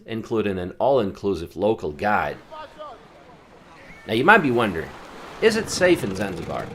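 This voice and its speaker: A man narrating a travel vlog in a relaxed, conversational voice-over. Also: lowish voice, a little hiss or hum. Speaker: travel vlogger.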